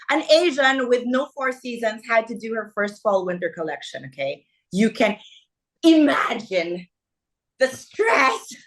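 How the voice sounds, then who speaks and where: A woman chats as an interview guest with a lively, storytelling manner. She acts out conversations as she recounts them. She keeps pulling the listener along with quick "okay?" and "right?" tags. Her pitch sits in the middle of the range for a woman. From Philippines. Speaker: fashion designer, guest. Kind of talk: casual interview chat.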